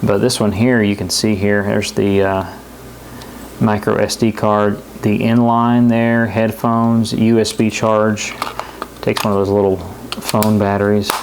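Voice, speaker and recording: A man's voice: fairly low pitch, level tone, measured pace, a little mellow. Man casually reviewing, over radio noise. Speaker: product reviewer. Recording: clear radio static and noise behind. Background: shortwave radio static and stations.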